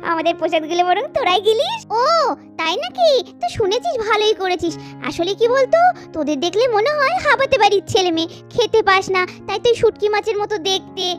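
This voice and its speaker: A woman's voice: very high voice, sing-song, fairly quick, soft background music. A woman voicing a little schoolgirl doll in a children's cartoon, chatty and bickering in a childish, colloquial way. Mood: bickering, sassy. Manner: childish, colloquial scolding and teasing.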